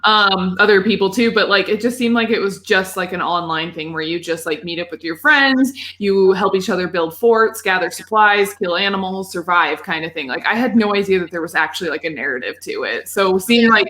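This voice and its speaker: A woman's voice: mid-range voice, brisk, few pauses, a bit bright. A woman talking casually about video games on a podcast, with informal, loose phrasing. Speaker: podcast panelist.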